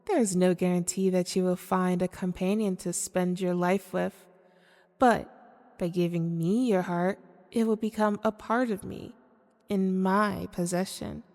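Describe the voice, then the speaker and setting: A woman's voice: lowish voice, fairly even intonation, a little mellow. Woman voicing a seductive demon in cavernous reverb. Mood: coaxing. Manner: formal, deliberate, coaxing. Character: seductive, manipulative female demon hungry for hearts. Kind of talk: scripted ASMR audio roleplay monologue.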